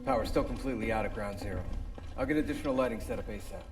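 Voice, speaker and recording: A man's voice: fairly low pitch, rapid-fire, natural voice. An actor playing an earnest male warship captain in a science-fiction game, urgent and commanding under pressure. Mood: tense, measured. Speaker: ship's captain. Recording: echoey, distant, loud music behind.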